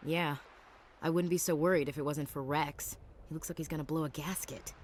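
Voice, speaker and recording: A tough young female marine, played by an actress in a video game. Her voice is low and she speaks bluntly, with wry, casual cheek. A little ambient noise is behind her. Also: fairly even intonation, fairly quick, darkish tone, close to an everyday voice.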